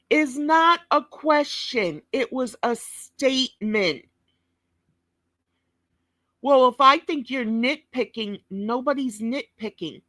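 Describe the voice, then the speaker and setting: Woman commentator, scolding and profane, slow deliberate delivery, dry close sound. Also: fairly high pitch, fairly bright tone, dry recording. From New York. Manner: blunt, scolding, heavy swearing. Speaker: commentator reacting to a clip.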